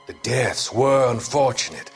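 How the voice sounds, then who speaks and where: An actor playing a cold, manipulative doctor in a crime drama game, clinical and controlling in manner. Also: male voice, mid-range voice, a little slow, breathy edge, faint music behind. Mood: cold, evasive. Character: cold, manipulative male doctor, calmly evasive.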